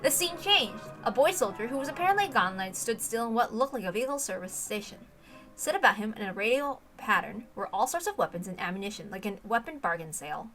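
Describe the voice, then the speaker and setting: A woman's voice: a little high, sing-song, quick pace, fairly bright tone, faint music behind. A woman reading a story aloud as dramatic scripted narration, with emphatic, theatrical delivery. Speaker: reader of a visual novel.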